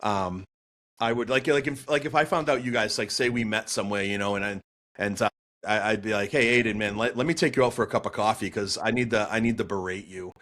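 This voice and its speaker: A man in his forties with a Boston accent, a podcast guest telling his story in a chatty, animated way with lots of fillers and restarts. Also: on the low side, quick pace. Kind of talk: storytelling interview answer.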